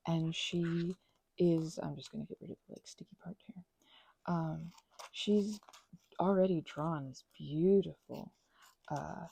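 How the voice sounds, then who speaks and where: A woman in a soft-spoken ASMR crafting ramble, talking close to the microphone in a meandering way and often slipping into whispers. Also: low-pitched, creaky, husky, dry recording.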